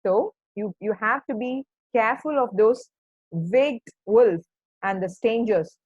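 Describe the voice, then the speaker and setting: A woman's voice: a little high, a little slow, breathy edge, no room sound, narrow, tinny audio. A woman voicing a caring, cautious mother sheep who earnestly admonishes her young. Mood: concerned.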